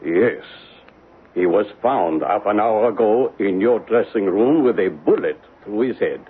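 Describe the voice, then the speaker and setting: A man playing a grave, formal police inspector questioning deliberately, in old-time radio drama style. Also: lowish voice, lively intonation, breathy edge. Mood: probing. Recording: muffled phone-like old radio broadcast.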